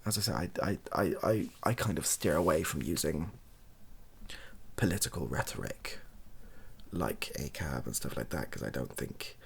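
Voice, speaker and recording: A young man speaking softly and breathily in a casual, rambling monologue, hesitant and self-deprecating as he gives his opinions. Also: very deep voice, animated, normal speed. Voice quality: soft, husky, breathy. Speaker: online video creator giving opinions.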